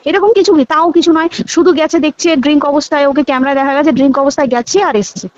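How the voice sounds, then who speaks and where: A woman's voice: high-pitched, racing, darkish tone. A young woman with a West Bengal accent talking casually and emphatically on a recorded phone call, repeating words and checking that her listener follows.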